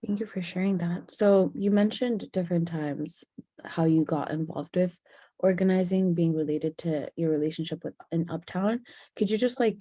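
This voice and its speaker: Very dark-toned woman interviewer. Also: fairly low pitch, creaky, no room sound, clean studio sound. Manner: polite, casual. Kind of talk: oral history interview questions.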